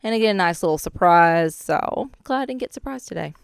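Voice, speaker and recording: A young woman talks through her renovation work in a relaxed, chatty way, full of little asides. Her voice sits a bit low and comes through very clean despite a slight hoarseness from a cold. The recording is dry and close, with no room sound. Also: fairly quick, no echo. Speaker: DIY renovation vlogger. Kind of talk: casual voice-over narration of demolition footage.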